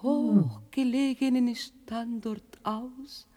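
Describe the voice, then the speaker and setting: A woman's voice: fairly high pitch, fairly even intonation, very slow, mellow. A woman narrating a literary radio play solemnly, in short, spaced-out phrases.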